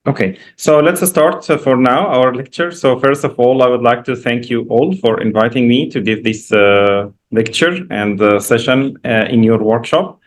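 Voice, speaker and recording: A man's voice: medium pitch, moderate pace, few pauses. Man lecturing in Egyptian-accented English. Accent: Egyptian Arabic. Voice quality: non-native English phrasing. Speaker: professor giving a guest lecture. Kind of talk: informal academic presentation with slides. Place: online video call.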